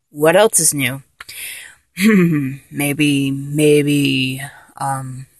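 A freshly transformed young man, voiced by a solo performer, rambles in a slow, stammering way and keeps breaking into giggles. His voice is mid-pitched and unhurried. The recording is dry and close. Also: no echo. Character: man just transformed from a woman, giddy, awkward. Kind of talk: solo audio roleplay.

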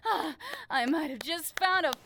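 A woman playing a bossy, demanding young adult college senior in an adult audio roleplay, with a breathy, emphatic delivery. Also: sits high, measured pace, bright, forceful tone, a little hiss or hum, heavily acted. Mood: excited, intense. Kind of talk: adult intimate audio roleplay, dramatic monologue.

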